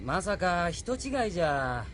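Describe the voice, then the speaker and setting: A man's voice: high voice, sing-song, a little slow, fairly bright tone, slight background noise, heavily acted. A man playing a skeptical bridge crewman, polite and matter-of-fact, in an audio drama. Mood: doubtful. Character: skeptical, quick-talking male bridge crewman. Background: faint music.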